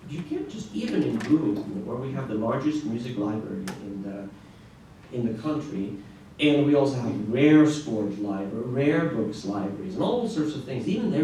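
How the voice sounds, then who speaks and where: A middle-aged man from Norway giving a conference talk as a classical guitarist, telling stories in an animated way with frequent restarts and "right?" asides. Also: mid-range voice, moderate pace. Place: large echoey conference room. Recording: echoey room, distant mic, faint noise.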